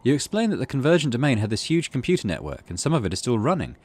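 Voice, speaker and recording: A man's voice: mid-range voice, wildly swooping pitch, rapid-fire, darkish tone. A man hosting a gaming video, casually narrating and commenting as he thinks aloud. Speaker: gaming channel host.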